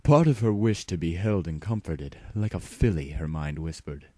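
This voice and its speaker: Low-voiced man reading a story, dry recording. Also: animated, normal speed, mellow, dry recording. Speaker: narrator.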